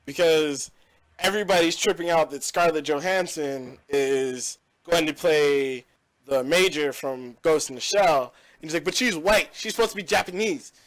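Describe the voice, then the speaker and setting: A man's voice: a little high, moderate pace, rough recording. Opinionated young man rambling on a podcast. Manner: rambling, opinionated, many fillers. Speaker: podcast host. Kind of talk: casual chat.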